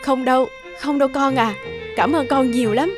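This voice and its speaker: A woman voicing a gentle, patient stepmother-to-be in a cartoon. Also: sits high, moderate pace, over music, lightly acted. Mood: grateful. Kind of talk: cartoon voice acting.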